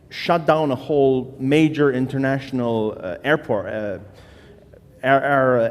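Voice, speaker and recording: A man from Slovenia talks loosely from a conference stage, trailing off into fillers and restarting phrases. His voice sits in a middle pitch, and a long reverb from the large hall follows each line. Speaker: conference speaker. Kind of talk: conference talk.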